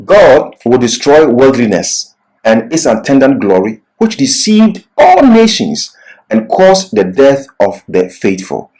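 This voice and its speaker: A man's voice: mid-range voice, big pitch swings, takes it slowly, darkish tone, poor audio. A man giving an expository Bible lecture as a teacher, in a didactic, emphatic manner.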